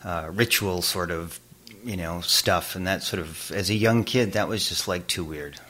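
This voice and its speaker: An older man with a very low voice recalls his childhood in an interview. He is from Boston and talks in a reflective way, trailing off and filling pauses as he thinks. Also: bright, forceful tone. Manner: reflective, with frequent fillers and trailing phrases. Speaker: interview guest, abstract painter. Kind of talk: relaxed conversational recollection.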